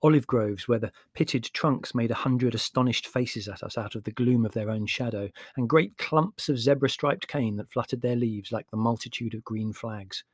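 A man's voice: mid-range voice, normal speed, few pauses, husky, no room sound, studio quality. A man reading a literary memoir aloud as an audiobook narrator, in a descriptive storytelling style.

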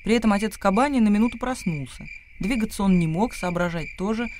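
A man's voice: high voice, quick pace, darkish tone, slight background noise. A man narrating literary prose as the storyteller of a radio play.

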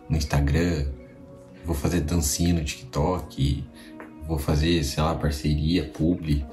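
A man's voice: low-pitched, warm tone. A man with a Brazilian accent hosting a podcast, giving casual, slangy reaction commentary full of swearing. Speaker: podcast host. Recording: echoey room with music behind.

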